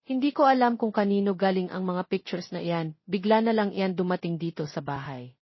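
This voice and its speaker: A woman's voice: fairly low pitch, moderate pace, no echo, muffled recording. Woman acting a hurt, stern, protective mother. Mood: hurt, firm.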